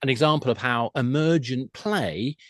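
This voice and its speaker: A man's voice: mid-range voice, animated, moderate pace, fairly bright tone, no echo. Enthusiastic male podcast guest, dry close sound. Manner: enthusiastic, emphatic, restarting to rephrase. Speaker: podcast guest, games industry expert. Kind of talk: conversational explanation.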